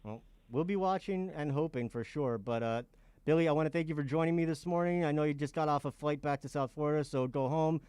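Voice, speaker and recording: A male sports radio host with a clear, smooth voice, set a little higher than most men. He thanks a guest warmly and runs through quick, brisk plugs. The sound is close and dry. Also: no echo. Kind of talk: radio show host wrap-up and promos.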